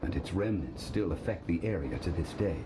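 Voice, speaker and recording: A man voicing a composed, ancient male scholar in a video game, speaking in a measured, formal, explanatory way. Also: sits low, animated, background noise. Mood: calm.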